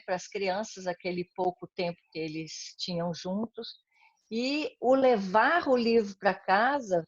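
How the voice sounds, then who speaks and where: A Brazilian woman interviewed on a video call, telling stories with warm enthusiasm and frequent tag questions. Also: mid-range voice, no room sound, dull audio. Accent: Brazilian Portuguese. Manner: warm, enthusiastic storytelling with many "né?" tags. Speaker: interviewee, heritage language educator. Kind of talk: casual interview conversation.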